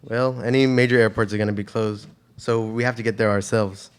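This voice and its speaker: A man's voice: lowish voice, steady tone, fairly quick, close to an everyday voice. A student actor playing an eager, easygoing male ex-treasure hunter and pilot in an amateur radio play. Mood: earnest. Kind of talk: amateur radio theater acting.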